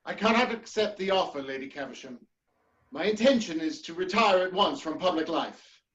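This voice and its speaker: Dignified statesman husband, played by a man, formal stage diction, high pitch with wide swings, dull lo-fi sound. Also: animated, normal speed, a bit bright. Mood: resolute. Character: earnest, dignified married gentleman politician. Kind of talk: theatrical dramatic reading of a period comedy.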